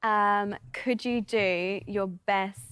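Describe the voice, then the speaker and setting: A woman's voice: mid-range voice, fairly even intonation, slow, a bit bright, clean tone, dry recording. A woman, chatting casually and teasingly as a dating show contestant. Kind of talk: casual, bantering chat.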